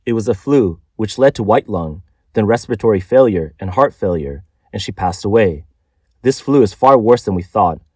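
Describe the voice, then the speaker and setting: A man's voice: lowish voice, expressive, normal speed, darkish tone, husky, no room sound, studio quality. A man reading a scripted news narration as the narrator of a report.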